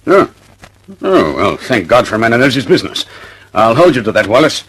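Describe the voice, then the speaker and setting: Brusque, husky British official, fast-talking. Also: male voice, mid-range voice, big pitch swings, a bit bright, low-quality recording. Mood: gruff, businesslike. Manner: barking, clipped, commanding. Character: brusque, impatient, powerful older British male government official. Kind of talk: mid-century radio drama acting.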